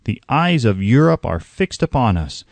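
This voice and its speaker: A man's voice: medium pitch, sing-song, moderate pace, vocal fry, dry recording. Male narrator as fervent colonial-era statesman, formal oratory, declamatory, dry recording, audiobook. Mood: urgent, fervent. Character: impassioned 18th-century male statesman making a formal speech.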